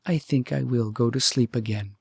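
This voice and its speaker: A man's voice: medium pitch, normal speed, creaky, slightly rough, no echo, studio quality. A man voicing a young princess in a storybook, cool, nonchalant, sleepy and condescending. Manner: nonchalant, coolly detached.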